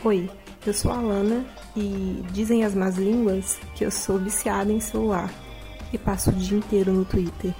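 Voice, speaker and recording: Mellow Brazilian woman bantering on a podcast. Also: medium pitch, fairly even intonation, moderate pace. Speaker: podcast co-host. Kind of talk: casual self-introduction, banter. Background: music.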